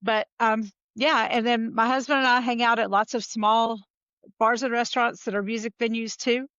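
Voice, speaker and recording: A woman's voice: fairly high pitch, steady tone, moderate pace, a bit bright, no room sound. Southern woman on a muffled call. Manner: chatty, filler-heavy. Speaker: podcast guest. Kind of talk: casual interview talk.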